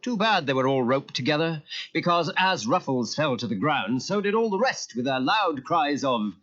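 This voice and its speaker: Man narrating a kids story tape, dramatic n expressive, big swings in pitch, slightly dull old cassette sound. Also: normal speed. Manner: theatrical, expressive. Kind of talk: dramatic scripted children's storytelling. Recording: muffled cassette transfer.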